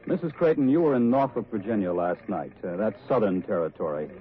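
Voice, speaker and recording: A polite, formal field reporter played by a man puts gentle, deliberate questions in 1940s radio style. The recording is thin and tinny with slight noise. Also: lowish voice, lively intonation, close to an everyday voice. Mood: courteous, probing. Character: courteous field newsman gently questioning a witness. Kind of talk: 1940s radio news interview.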